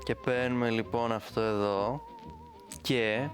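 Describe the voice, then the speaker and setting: A man's voice: mid-range voice, fairly even intonation. A man presenting a casual tutorial in an informal, playful, rambling way. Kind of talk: casual screen-recorded tutorial. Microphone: close studio microphone. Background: music.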